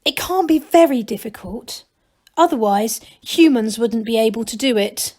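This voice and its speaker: Storybook gnome lad, earnest and proud, emphatic, fairly high voice woman reader acting him. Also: lively intonation, moderate pace, darkish tone. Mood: earnest, persuasive. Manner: emphatic, plunging on. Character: eager young male gnome leader, earnest and proud.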